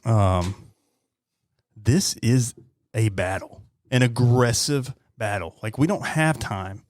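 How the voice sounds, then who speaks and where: A man in his thirties talking bluntly and earnestly on a podcast, often halting and repeating his words. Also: medium pitch, no room sound, studio quality. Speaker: podcast host, police officer. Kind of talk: heartfelt interview talk.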